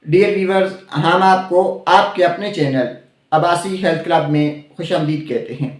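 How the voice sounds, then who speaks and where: A man's voice: on the high side, normal speed, echoey room. A man hosting a health channel, explaining a herbal syrup to viewers and repeating the main points. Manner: repeats key points, addresses viewers directly. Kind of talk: scripted product explainer.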